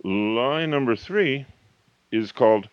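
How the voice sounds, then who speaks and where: A man in his fifties or older giving a motivational talk as a coach and author, emphatic and slowing down hard on key points. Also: medium pitch, lively intonation, no room sound. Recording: slightly muffled, dry recording.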